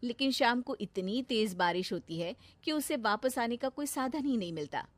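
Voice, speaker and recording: A woman narrating a moral story in a clear, steady storytelling manner. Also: fairly high pitch, normal speed, no room sound.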